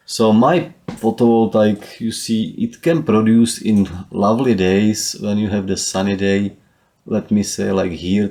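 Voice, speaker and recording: A man with a Czech accent talks through his own solar data, pausing and restarting with plenty of fillers. His voice sits low and sounds soft and mellow. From Czech Republic. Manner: halting, with frequent fillers and restarts. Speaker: solar power hobbyist. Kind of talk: casual screen walkthrough.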